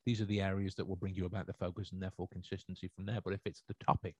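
A man with a low voice hosts a solo podcast stream, reading chat messages and answering at length. He circles back often, restarting sentences and filling gaps with ums. The recording is close and dry, with no room sound. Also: little pitch movement, rapid-fire, breathy edge, no room sound. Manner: rambling, many restarts and fillers. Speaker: podcast host.

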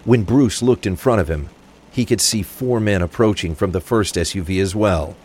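A man's voice: deep voice, moderate pace, a little mellow, slightly rough. A man reading scripted narration for a crime thriller audio drama. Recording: faint rain and traffic ambience. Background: rain, vehicle sounds.

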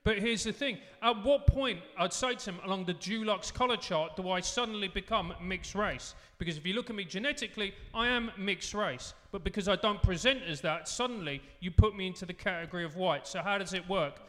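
A man whose first language is Spanish, a comedian guest telling an animated anecdote live on stage and acting out quoted exchanges. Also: high voice, brisk, hardly any pauses, in a large hall. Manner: animated storyteller who acts out quoted exchanges. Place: live venue stage before an audience.

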